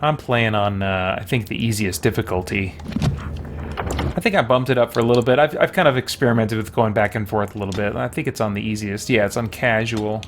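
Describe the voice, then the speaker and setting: A man's voice: lowish voice, fairly quick, background noise. A man doing casual live-stream gameplay commentary, thinking aloud in an easygoing, chatty way. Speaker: game streamer, host.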